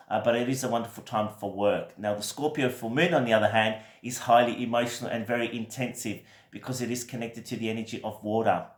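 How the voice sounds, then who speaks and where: A man with a croaky voice from a recent cold, giving a gentle, reassuring weekly tarot reading with many fillers. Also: medium pitch, reverberant. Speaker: tarot reader.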